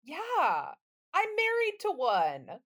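A woman's voice: very high voice, huge pitch swings, drawn-out words, a bit bright. Playful woman in casual podcast chat. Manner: playful, slangy, informal. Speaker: podcast host.

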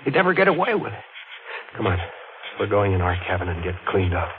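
An actor playing a brisk, rattled young newlywed husband in 1940s radio drama style, urgent and clipped. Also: male voice, lowish voice, sing-song. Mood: reassuring, firm. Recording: dull, noisy 1940s radio recording.

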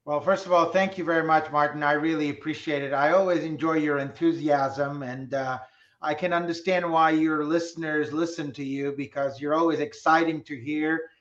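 A man's voice: on the high side, fairly even intonation. Male guest, middle-aged, from Turkey; reflective and hesitant, dull lo-fi line. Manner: measured, reflective, many filler pauses. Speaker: guest, public policy professor. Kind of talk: interview answers. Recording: roomy, dull lo-fi sound.

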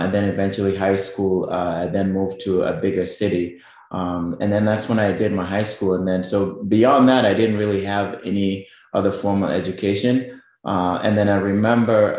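A man's voice: low voice, a little mellow, heavy reverb. A middle-aged man from Kenya with a Kenyan accent, a guest in a radio interview, talking casually with many fillers and restarts. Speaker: guest business owner.

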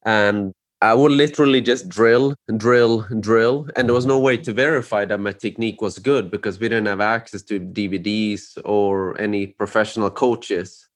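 A man's voice: on the low side, steady tone, normal speed, hardly any pauses. A man from Sweden hosting an interview, asking curious, rambling questions peppered with "like" and "you know". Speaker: podcast host. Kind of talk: casual interview chat.

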